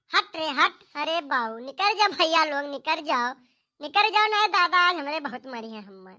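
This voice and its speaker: Woman as a high, whining Awadhi farm lad. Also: pushed and bright. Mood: complaining. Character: hapless young farm son, pleading and complaining. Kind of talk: amateur comic cartoon dialogue.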